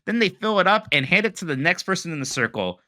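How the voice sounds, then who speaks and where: Animated bright-voiced man on a podcast. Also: sits high, quick pace, dry recording, clean studio sound. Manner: animated, self-mocking when stumbling over words. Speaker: podcast co-host. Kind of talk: casual chat, reading listener emails.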